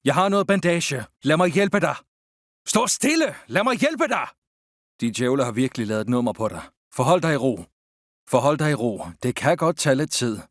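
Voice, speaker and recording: A man voicing a reassuring army surgeon in a video game, with measured, soothing delivery. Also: mid-range voice, big pitch swings, no echo, clean studio sound, natural voice. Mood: calm, reassuring. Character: calm, reassuring male army surgeon tending wounded soldiers. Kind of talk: video game character voicelines.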